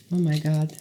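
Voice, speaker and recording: Slow, halting older woman in a roomy space. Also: low voice, mellow, slight background noise. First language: Hungarian. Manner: halting, searching for words, with restarts. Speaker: poet. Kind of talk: reflective documentary interview. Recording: roomy echoey sound.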